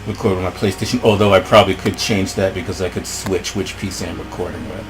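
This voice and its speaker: A man with a rough voice commenting casually on his gameplay, rambling and thinking aloud. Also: deep voice, steady tone, fast, faint music behind. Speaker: let's play host.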